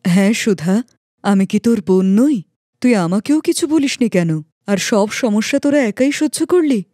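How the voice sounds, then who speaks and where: A woman's voice: medium pitch, lively intonation, moderate pace, warm tone, dry recording, studio quality, natural voice. A woman voicing a worried young mother and elder sister in a cartoon story, earnest and familiar. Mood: hurt reproach. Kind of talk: cartoon moral story acting.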